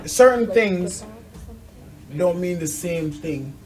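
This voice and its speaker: A woman's voice: fairly low pitch, expressive, slow, darkish tone. An outspoken woman talking frankly and emphatically in a casual, opinionated conversation. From Jamaica. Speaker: talk show host.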